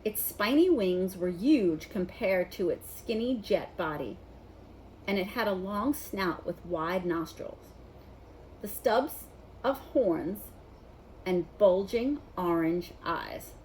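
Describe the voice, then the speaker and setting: A woman narrating a children's novel in an expressive read-aloud style. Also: mid-range voice, slow pace, slight background noise, low-quality recording. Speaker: storybook reader.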